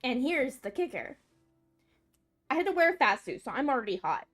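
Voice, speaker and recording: High-voiced young woman rambling. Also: very animated, moderate pace, faint music behind. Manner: rambling, hesitant, many fillers. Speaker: streamer. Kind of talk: casual chat.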